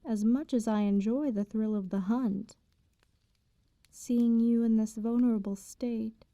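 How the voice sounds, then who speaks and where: A woman playing an immortal vampire in an ASMR roleplay, speaking softly and formally, with condescending tenderness and quiet menace. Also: on the high side, dry recording. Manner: formal archaic diction, unhurried, teasing. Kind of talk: slow ASMR roleplay, intimate bedtime storytelling.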